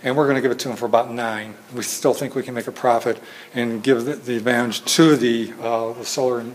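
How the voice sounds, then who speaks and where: Man, mid-pitched, soft-spoken presenter; faint room noise, phone-recorded. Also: fairly even intonation, low-quality recording. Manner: soft-spoken, halting, many fillers and restarts. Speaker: presenter at a training session. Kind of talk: informal presentation with slides. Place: meeting room with audience. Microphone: phone camera in the room.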